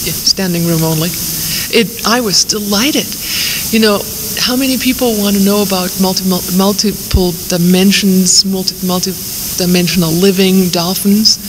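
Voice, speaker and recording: A woman's voice: medium pitch, steady tone, normal speed. A woman born in the Himalayas and raised in Germany, a native Persian speaker, telling stories with enthusiasm as a guest on a radio show. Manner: enthusiastic, eclectic storyteller with restarts. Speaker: guest author. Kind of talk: radio interview. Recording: noisy 1990s radio recording.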